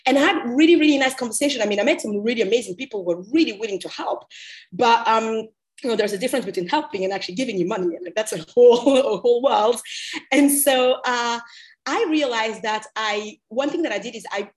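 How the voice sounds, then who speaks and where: A woman's voice: on the high side, fast. A young woman from Cameroon giving candid, informal interview answers as a podcast guest, explaining things in a conversational way.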